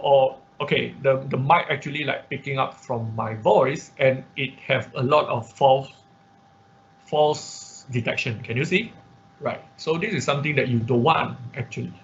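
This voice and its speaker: A man teaching a live online tutorial step by step, halting and repeating himself as he checks that listeners follow. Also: medium pitch, vocal fry, fairly bright tone. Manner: halting, repetitive, checks understanding with "okay" and "right". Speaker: instructor. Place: online class from a computer. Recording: dull lo-fi audio with background noise.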